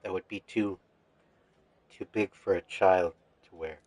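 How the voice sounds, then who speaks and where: A man's voice: deep voice, a little slow, no room sound. A man casually commenting as he plays a video game and reads out its dialogue. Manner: relaxed, musing asides. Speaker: let's play streamer.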